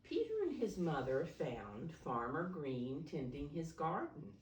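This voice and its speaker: A woman speaking as a preschool teacher at storytime, explaining things simply and deliberately to young children. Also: low-pitched, sing-song, slight background noise. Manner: simple, deliberate explaining, refers to herself in third person. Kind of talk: read-aloud storytime for preschoolers. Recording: roomy echo, distant microphone.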